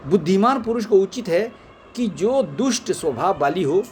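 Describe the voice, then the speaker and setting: Higher-pitched man reading scripture, noisy recording. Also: animated, normal speed, darkish tone. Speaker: reader of scripture. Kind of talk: reading scripture aloud, with brief asides.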